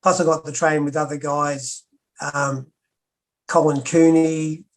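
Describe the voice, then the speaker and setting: Older Australian man, flat steady telling. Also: fairly high pitch, measured pace. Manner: steady, matter-of-fact recounting. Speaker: guest, former pro wrestler. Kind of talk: interview storytelling.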